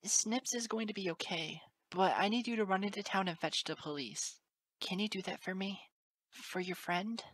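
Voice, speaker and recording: A woman's voice: on the low side, extremely slow, few pauses, a bit bright, dry recording, pitched above the performer's own voice, exaggerated voice, low-quality recording. A woman voicing a caring, emotional female pony schoolteacher in a storybook reading, earnest and tender. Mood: moist-eyed, reassuring. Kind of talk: dramatic audiobook character acting.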